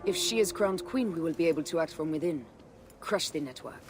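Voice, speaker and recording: An actress playing a determined, wry rebel conspirator in a video game. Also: female voice, deep voice, expressive, fairly quick, music in the background. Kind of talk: dramatic video game acting.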